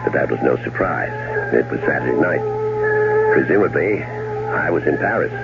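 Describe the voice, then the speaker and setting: Deep-voiced, urbane, cold-blooded husband. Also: male voice, lively intonation, moderate pace, creaky, loud music behind the voice, heavily acted. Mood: cool, controlled. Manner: dry, urbane, precise. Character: fastidious, coldly murderous husband narrating his crime. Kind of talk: 1970s radio drama first-person narration. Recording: muffled old radio broadcast recording.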